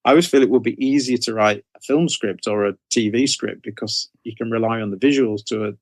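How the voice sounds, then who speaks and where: A man's voice: medium pitch, fairly quick, no room sound. Male author as interview guest: casual, mid-range voice, circles back and rephrases as he explains, very little room sound. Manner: hesitant, self-correcting, repeats phrases. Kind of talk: casual conversational interview answers.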